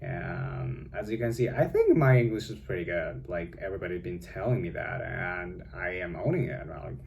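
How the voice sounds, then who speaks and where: A young Chinese man speaks English, his second language, in a low, gravelly voice with a clear Chinese accent. He talks slowly and loosely, full of "uh" and "like" and restarts. A faint hiss sits under the home recording. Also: animated. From China. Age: young adult. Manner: rambling, many fillers and self-corrections. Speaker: vlogger. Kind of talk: casual vlog chat. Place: home with cats.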